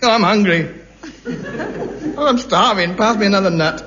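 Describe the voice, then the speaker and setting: Man as pompous indignant Brit, high lively voice, muffled vintage radio sound. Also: normal speed, lightly acted. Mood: self-pitying. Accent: British. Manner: pompous, indignant, theatrical swings in pitch. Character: pompous hungry self-important man, indignant. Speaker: lead comic actor. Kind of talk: 1950s radio sitcom acting. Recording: muffled hissy 1950s radio broadcast.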